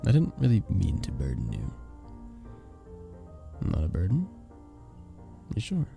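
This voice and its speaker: A very dark, velvety male vampire bartender acted out by a man in an ASMR roleplay. He is sweet, earnest and flirtatious, speaking softly and hesitantly. The pitch is low and swings expressively, with soft music underneath. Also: vocal fry, full character voice. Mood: apologetic, relieved. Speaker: audio roleplay performer. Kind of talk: ASMR romantic roleplay acting. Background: soft background music.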